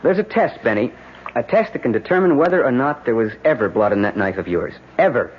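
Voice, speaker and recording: A man's voice: medium pitch, very animated, a little fast, breathy edge. An American man playing a blunt, pressing defense lawyer in an old-time radio drama, talking in colloquial, urgent phrases. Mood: urgent, stern. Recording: dull, noisy vintage radio recording.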